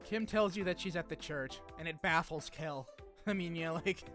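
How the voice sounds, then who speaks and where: A man with a slightly high voice narrates a game recap over music. His delivery is scripted but informal, slipping into wry, slangy asides. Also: normal speed, fairly bright tone. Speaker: video essay narrator. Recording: music under the voice.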